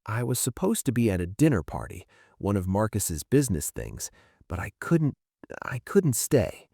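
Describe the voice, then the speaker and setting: A man voicing a quiet, weary, elegant woman in a story, with soft, brief, hesitant replies. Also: fairly low pitch, very animated, moderate pace, gravelly, breathy edge, no room sound, studio quality, heavily acted. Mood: sad, hesitant.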